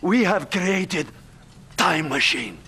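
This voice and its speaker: An actor playing a panicky scientist, pleading urgently in a video game cutscene. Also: male voice, high voice, expressive, slow pace, sharp tone, husky, heavily acted. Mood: urgent warning.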